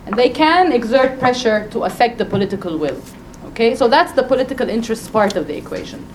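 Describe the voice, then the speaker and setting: A woman with a loud voice speaking on a conference panel, making an emphatic, persuasive case and addressing the audience directly. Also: on the high side, lively intonation, fast talker, a little hiss or hum. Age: adult with a doctorate.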